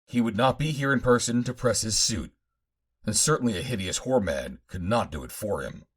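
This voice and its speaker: A man's voice: fairly low pitch, lively intonation, normal speed, husky. A man narrating a pulp adventure audiobook in the first person, in dramatic fashion.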